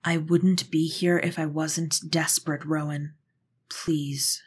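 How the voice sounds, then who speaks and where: A woman acts out a persistent witch for an audio drama, with a lowish, raspy voice. She is earnest and persuasive, making her case in measured phrases. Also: little pitch movement, exaggerated voice. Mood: grave, desperate. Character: earnest, persistent female witch, pleading go-between. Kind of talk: audio roleplay drama acting.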